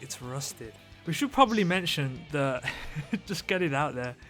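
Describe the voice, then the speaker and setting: A man's voice: fairly high pitch, animated, unhurried, a bit bright. A man hosting a podcast in casual chat, joking in a mock-earnest, sweary way. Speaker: podcast host. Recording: music playing behind the voice.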